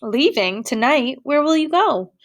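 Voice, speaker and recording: A woman doing a calm, firm young girl. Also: high-pitched, unhurried, pitched above the performer's own voice, full character voice. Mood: concerned. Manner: gentle, firm.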